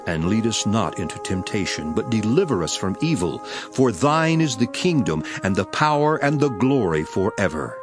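Authoritative scripture preacher, low-pitched, solemn, declamatory, measured, emphatic, dramatized Bible reading, music underneath. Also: male voice.

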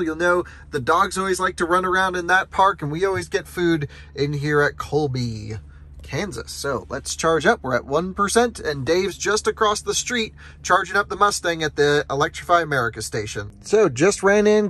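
A man in his thirties hosting a casual road trip vlog, chatty and upbeat, thinking aloud while driving. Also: a little high. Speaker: channel host. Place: inside a car on a highway, windy outdoors at stops. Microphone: handheld phone camera. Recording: loud road and wind noise.

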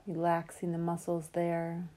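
A woman leads a guided relaxation in a low, very soft-edged and mellow voice. Her delivery is calm and soothing, with a very even, level melody. She takes her time and leaves room between phrases. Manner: calm, soothing, unhurried with long pauses. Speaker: meditation guide.

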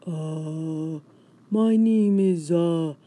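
A woman voicing a very big, slow-witted male dog who speaks in a hesitant, halting way. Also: low-pitched, dark tone, dry recording, exaggerated voice.

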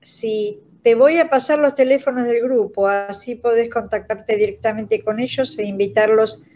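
A woman's voice: fairly high pitch, normal speed, a little mellow. An older woman with an Argentine accent, speaking as a radio theatre director. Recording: dull lo-fi audio.

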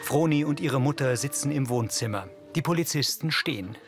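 Guy narrating whats happening on screen, neutral and measured, music behind him. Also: medium pitch, lively intonation. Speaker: audio description narrator. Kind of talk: scripted scene narration. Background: background music.

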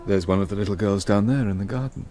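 A man playing a brusque, commanding English gentleman with a kind streak in a radio drama, with an English accent. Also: fairly low pitch, fast, slight background noise, close to an everyday voice. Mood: wry.

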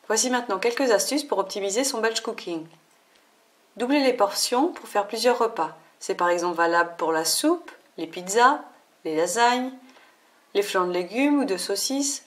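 A woman host narrating a scripted cooking tutorial voice-over in a clear, upbeat instructional way. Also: medium pitch.

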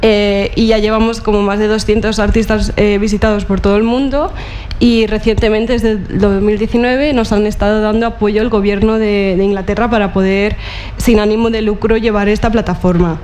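A young woman from Murcia, a curator, speaking on a panel in a large auditorium with relaxed, hesitant talk and many fillers. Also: medium pitch, normal speed, a little hiss or hum. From Murcia, Spain.

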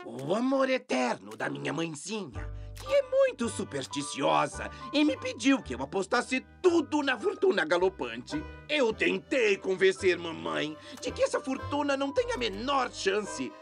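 Wheedling older cartoon cheese gentleman, theatrical, creaky, leaping up and down in pitch. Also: huge pitch swings, unhurried, hardly any pauses, faint music behind, heavily acted. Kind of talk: animated cartoon dialogue.

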